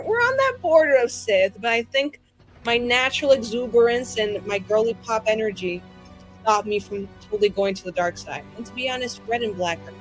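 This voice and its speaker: A woman's voice: fairly high pitch, rough recording. A woman player in a tabletop roleplaying show, casually introducing things in an exuberant, sassy, slangy way.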